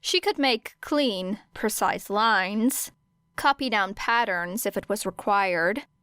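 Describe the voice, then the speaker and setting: Clear-voiced woman narrating a story. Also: medium pitch, takes it slowly, a bit bright, no echo, studio quality. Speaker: audiobook narrator of fan fiction.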